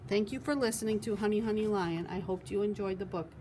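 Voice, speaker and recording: A woman's voice: medium pitch, fast talker, mellow, a little hiss or hum. A woman reading a children's picture book aloud expressively, as a storytime host. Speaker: storytime reader.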